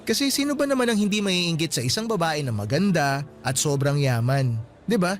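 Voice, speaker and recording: A man's voice: on the high side, expressive, fairly quick, close to an everyday voice. An actor playing a young male student boyfriend in a radio drama, playful and teasing but frank when he turns serious.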